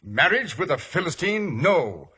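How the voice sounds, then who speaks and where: A man's voice: fairly high pitch, big pitch swings, a little slow, over loud music. An actor playing a stern, agitated old father in a 1950s radio drama, indignant and declamatory. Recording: vintage 1950s radio broadcast.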